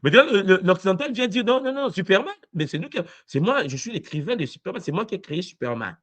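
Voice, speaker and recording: Animated man pressing questions. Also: high-pitched, fast. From Congo. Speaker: university lecturer. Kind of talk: debate-style discussion.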